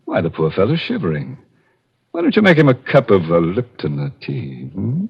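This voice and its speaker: An actor playing a macabre, sardonic storyteller in 1940s radio horror, insinuating and mock-sympathetic. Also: male voice, low-pitched, huge pitch swings, normal speed, vocal fry, slightly rough, heavily acted. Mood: gleefully ghoulish. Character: macabre, sardonic male storyteller, gleefully ghoulish. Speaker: narrator and host of a thriller series. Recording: muffled vintage radio recording with loud music.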